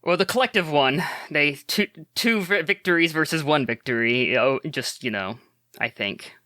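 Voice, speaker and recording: A man's voice: a little high, normal speed, pushed and bright. A man playing a tabletop role-playing game who chats casually and often stammers and restarts. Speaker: player.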